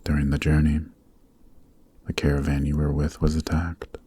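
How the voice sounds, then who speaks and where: A man playing a weary, noble Demon King in a fantasy ASMR roleplay, speaking softly and solemnly with a breathy voice. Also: completely flat delivery. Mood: grieving, solemn. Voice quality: very deep, soft, breathy, half-whispered. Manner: slow, solemn, earnest, formal phrasing. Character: weary, noble adult male Demon King, sorrowful and gentle. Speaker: voice actor.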